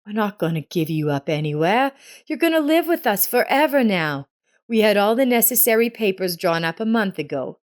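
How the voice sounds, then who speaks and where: A woman's voice: medium pitch, sing-song, normal speed, voice pushed up, close to an everyday voice. A woman voicing a protective, reassuring father in a narrated story.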